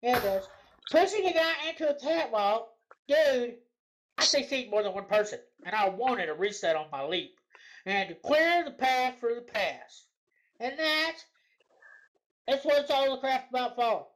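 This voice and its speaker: Sarcastic, high-voiced woman reading posts. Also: animated, moderate pace, low-quality recording. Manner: sarcastic, argumentative. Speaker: co-host. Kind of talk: mocking dramatic reading of forum posts.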